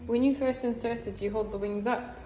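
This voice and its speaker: A woman's voice: a little high, steady tone, fairly quick, dark tone. A woman chatting casually during hands-on training, sounding tentative and unsure. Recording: distant echoey room, faint noise.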